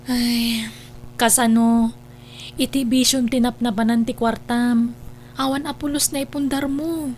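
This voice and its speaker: Actress as high-voiced wronged Ilocano wife. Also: female voice, fairly even intonation, measured pace, long pauses, a little mellow, slight background noise, natural voice. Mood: reproachful. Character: wronged wife and mother, hurt, angry, resolute. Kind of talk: Ilocano radio drama acting.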